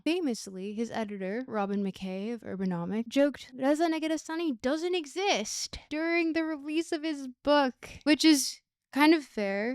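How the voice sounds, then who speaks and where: A woman's voice: sits high, smooth, dry recording, clean studio sound. A woman presenting a casual scripted video essay with a wry, slangy and emphatic delivery.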